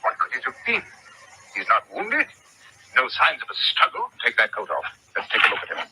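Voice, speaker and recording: An actor playing a brisk, commanding detective with a teasing streak in an old-time radio drama. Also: male voice, medium pitch, wildly swooping pitch, bright, forceful tone, husky, exaggerated voice, low-quality recording. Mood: urgent, grave. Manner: brisk, clipped, authoritative. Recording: old radio broadcast, faint noise.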